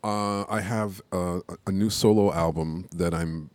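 A man in a casual interview, talking about his music in a relaxed, halting way with many pauses. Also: deep voice, no echo. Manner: relaxed, hesitant with many ums. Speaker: musician guest.

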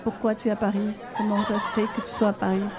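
A woman hosting a live event interview, asking curious questions in a lively way. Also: mid-range voice, racing. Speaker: host. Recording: outdoor crowd noise. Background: crowd chatter.

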